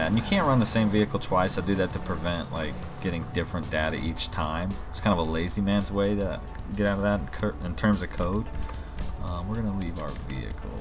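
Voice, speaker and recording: A man's voice: low voice, a little fast. A man giving a casual, rambling gameplay walkthrough as he demonstrates his own game mod. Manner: informal, rambling explanations. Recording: game music and vehicle sirens behind voice. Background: game music, vehicles, police sirens.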